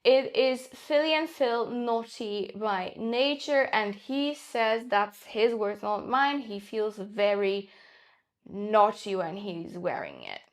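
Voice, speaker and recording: A woman's voice: mid-range voice, sing-song, takes it slowly. A young woman whose first language is Polish, chatting enthusiastically in a casual perfume review, with frequent fillers.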